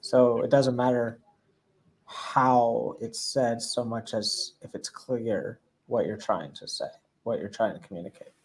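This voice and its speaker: A man's voice: medium pitch, steady tone, unhurried, slightly rough, low-quality recording. A man from Mississippi with a Southern accent, co-hosting a Q&A, reading out questions and chatting in an easygoing way. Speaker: co-host reading viewer questions. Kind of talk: casual chat.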